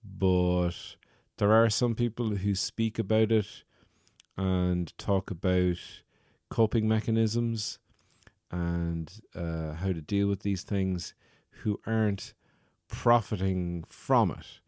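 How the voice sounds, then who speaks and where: A man's voice: deep voice, steady tone, slow pace, a little mellow, smooth, no room sound, clean studio sound. A man hosting a solo comedy podcast, talking in a wry, rambling, self-mocking way. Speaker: podcast host.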